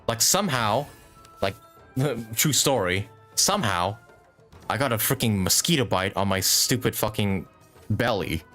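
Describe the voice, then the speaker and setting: Low-voiced, animated man streaming a game. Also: measured pace, soft background music. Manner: rambling, thinking aloud, mildly swearing. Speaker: live streamer. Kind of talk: casual gaming stream commentary.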